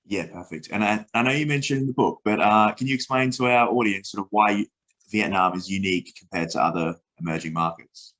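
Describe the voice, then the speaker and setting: A man hosting a finance podcast, reading a brisk intro and asking questions. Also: on the low side, a bit bright, low-quality recording. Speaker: podcast host.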